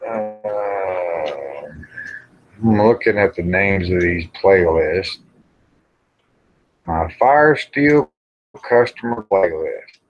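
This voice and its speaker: A man's voice: sits low, lively intonation, very slow, pauses often. A middle-aged man, hosting a casual livestream chat in a folksy, rambling, salty-tongued way. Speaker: livestream host. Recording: dull lo-fi livestream audio.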